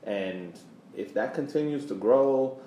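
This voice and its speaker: A man's voice: medium pitch, expressive, slow, dark tone. A man co-hosting a soccer podcast, talking casually and repeating phrases for emphasis. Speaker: podcast host. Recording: roomy echo, faint background noise.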